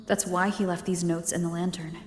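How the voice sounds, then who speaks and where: A woman's voice: on the low side, little pitch movement, a little fast, a little hiss or hum, natural voice. Woman voicing a thoughtful young adventurer. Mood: pondering. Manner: thoughtful, reasoning aloud. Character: curious young woman adventurer piecing together clues. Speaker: protagonist investigating ruins. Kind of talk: English game dub dialogue. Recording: roomy game audio with long reverb. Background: soft music.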